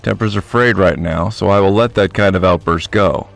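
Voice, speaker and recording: An actor playing a smug, controlling small-town crime patriarch in a radio drama, speaking in a measured, formal way with veiled menace. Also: male voice, deep voice, vocal fry, a bit bright, faint music behind. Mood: smug, controlled.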